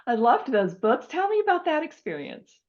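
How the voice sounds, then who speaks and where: A woman's voice: sits high, huge pitch swings, normal speed, dull audio. A middle-aged woman hosting an interview in a conversational, enthusiastic and appreciative way. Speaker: interviewer, host.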